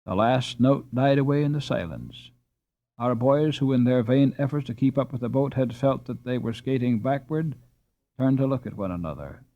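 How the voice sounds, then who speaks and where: A man reading a storybook aloud as an audiobook narrator, in an expressive, scripted style. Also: mid-range voice, moderate pace, dull audio.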